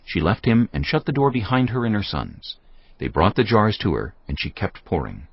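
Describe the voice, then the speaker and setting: A man narrating scripture in a measured, solemn way. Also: lowish voice, huge pitch swings, breathy edge, no room sound. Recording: dull audio with music bed.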